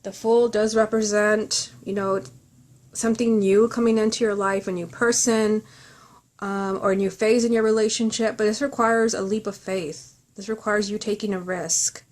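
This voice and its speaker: A woman's voice: medium pitch, steady tone, moderate pace, darkish tone. A woman reading tarot cards in an informal, reflective way, speaking earnestly and directly to the listener. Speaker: tarot reader.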